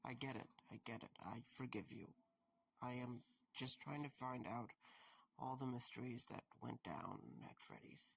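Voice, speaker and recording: Audio drama lead: male actor as an awkward young security guard, halting delivery, gravelly fry, very varied intonation. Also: medium pitch, lively intonation, a little hiss or hum. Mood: earnest, gentle. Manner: hesitant, halting, stammering.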